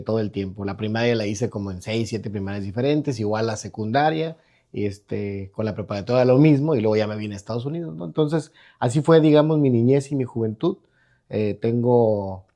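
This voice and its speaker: Mexican man, mellow and rambling. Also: on the low side, normal speed, creaky. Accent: Mexican Spanish. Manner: rambling with many restarts and tag questions. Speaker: guest entrepreneur. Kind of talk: casual interview talk. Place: his own podcast studio.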